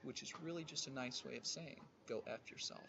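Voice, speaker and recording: A streamer giving loud, animated commentary while playing a game at home. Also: mid-range voice, fairly quick, creaky, dull audio, noisy background. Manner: animated, sudden excited outbursts. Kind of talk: casual gameplay commentary. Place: indoors at home.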